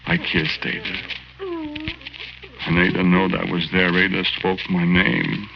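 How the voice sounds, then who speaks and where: An American actor playing the plaintive, vengeful ghost of a petty crook, narrating in plain, slangy 1940s radio style. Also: male voice, low-pitched, flat delivery, a little slow, gravelly, background noise. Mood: mournful. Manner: plain, slangy, ungrammatical tough-guy talk. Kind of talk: 1940s radio acting, first-person narration. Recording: dull lo-fi 1940s radio recording. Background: dramatic music.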